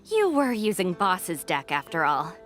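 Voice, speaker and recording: A woman's voice: fairly low pitch, very animated, measured pace, fairly bright tone. A woman voicing a sensible, exasperated young gang deputy in a video game, dry and matter-of-fact. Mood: patient, resigned. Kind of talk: English video game voice acting. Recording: background music behind voice.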